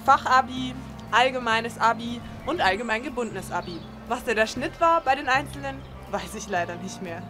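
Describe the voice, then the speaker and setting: A woman answering questions to camera as a crew member, measured and lightly ironic. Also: on the high side, over music.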